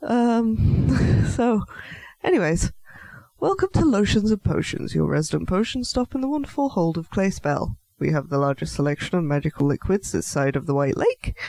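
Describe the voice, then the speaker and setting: Casting: man voicing a small, nervous male half-elf shopkeeper, high voice, swooping delivery, flustered and stammering, fantasy audio roleplay. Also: sing-song, moderate pace, vocal fry, darkish tone, dry recording, full character voice. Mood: flustered welcoming. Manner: flustered, stammering, apologetic, politely chatty. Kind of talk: scripted fantasy audio roleplay addressed to the listener.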